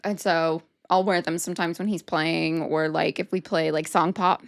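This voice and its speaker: A woman's voice: sits low, fairly even intonation, moderate pace, creaky, no echo, studio quality. A woman co-hosting a podcast in a casual, easygoing way, chatting and agreeing with her co-host. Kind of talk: casual chat.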